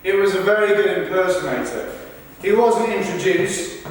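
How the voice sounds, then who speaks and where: A man performing a rehearsed reading of verbatim texts in a formal, deliberate, projected delivery. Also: high voice, expressive. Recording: echoey hall, far from mic, faint noise.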